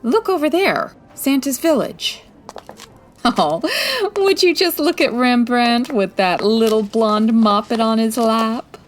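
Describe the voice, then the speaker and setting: A woman's voice: on the high side, slow pace, faint music behind. A woman playing a confident, wry 1940s private detective in a radio-style comedy drama, breezy and teasing. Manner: breezy, teasing, self-assured.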